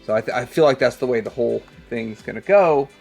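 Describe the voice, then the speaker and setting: A man's voice: mid-range voice, quick pace, creaky, darkish tone. Casual man reviewing toys over music. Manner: rambling, thinking aloud as he handles things. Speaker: toy review channel host. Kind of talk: casual hands-on product review.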